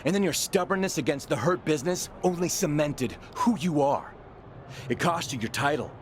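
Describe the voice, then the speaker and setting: A man's voice: a little high, expressive, normal speed, a little hiss or hum, close to an everyday voice. A man playing a resentful, overlooked wrestling teammate who speaks in a pointed, accusatory way in a video game cutscene. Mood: bitter.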